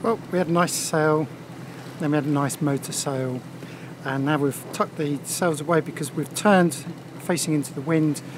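A man's voice: a little high, moderate pace, background noise. A man in his fifties chatting casually to camera outdoors on a sailboat deck, wearing a clip-on mic. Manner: relaxed, chatty commentary. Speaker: sailing vlogger. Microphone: small clip-on mic.

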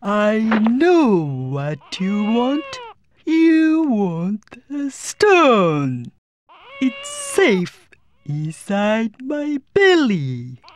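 A woman's voice: a little high, wildly swooping pitch, very slow, faint music behind, full character voice. A woman voicing a greedy, whining cat-like monster in a video game, drawling and wailing. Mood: taunting. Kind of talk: video game cutscene acting.

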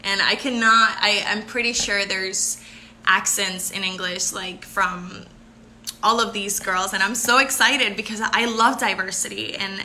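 Young puerto rican woman, super bright tone, giggly live q&a, phone held close. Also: medium pitch, slight background noise. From Puerto Rico. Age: 20s. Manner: bubbly, laughs often, switches between English and Spanish. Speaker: pageant contestant hosting a livestream. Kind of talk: live Q&A reading viewer comments. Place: bright room indoors. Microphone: phone camera held close.

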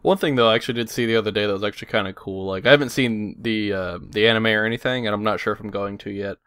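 Rambling, low-voiced man on a podcast. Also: racing, fairly bright tone, clear, resonant tone, no room sound, clean studio sound. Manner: rambling, swears casually, many fillers. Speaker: podcast host. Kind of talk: casual chat.

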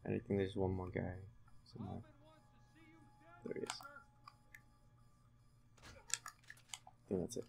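A young man giving casual, halting, low-key commentary while concentrating on playing a video game. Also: completely flat delivery, vocal fry, a little mellow. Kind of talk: casual let's-play commentary.

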